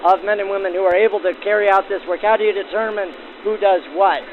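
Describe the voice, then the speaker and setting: A man's voice: sits high, fairly even intonation, fast talker, narrow, tinny audio, slight background noise. A man working as host and interviewer, presenting in the manner of a 1940s radio reporter. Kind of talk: scripted narration and field interviews backdated to 1940s radio. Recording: band-limited 1940s radio-style sound.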